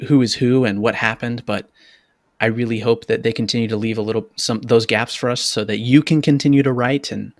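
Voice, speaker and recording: A man's voice: fairly low pitch, no echo, studio quality. A man co-hosting a podcast in casual, conversational chat. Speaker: podcast co-host.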